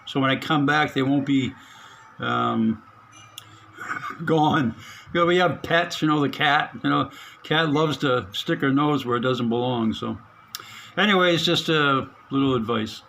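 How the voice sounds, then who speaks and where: A middle-aged man at a mid pitch talks casually through his hobby radio repair. He halts often, fills gaps and chuckles softly to himself. Faint room sound sits behind him in the small spare room. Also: vocal fry, a little hiss or hum. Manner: halting, many fillers, chuckles to himself. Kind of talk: casual hands-on narration.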